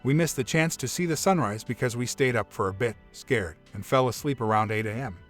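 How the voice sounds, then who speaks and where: A man's voice: medium pitch, brisk. Man narrating a creepy story. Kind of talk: reading a creepy story aloud. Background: soft background music.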